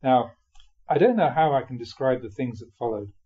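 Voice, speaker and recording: A man reading a spiritual memoir aloud expressively, in a measured way with dramatic pauses. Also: medium pitch, lively intonation, husky, phone-quality sound. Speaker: reader of Buddhist teachings.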